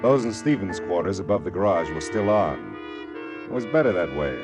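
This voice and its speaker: An actor playing a wry, hard-boiled private detective with an American accent, in clipped, sardonic 1940s radio noir style. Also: male voice, low voice, very animated, normal speed, over music. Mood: brooding, wry. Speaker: lead actor and narrator.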